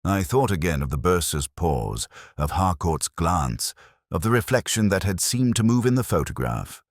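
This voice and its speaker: A man playing a brooding, world-weary older police inspector, narrating a detective drama in a measured, formal, wry manner. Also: sits low, sing-song, dry recording, clean studio sound, heavily acted. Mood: melancholy, reflective. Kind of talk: scripted first-person literary narration.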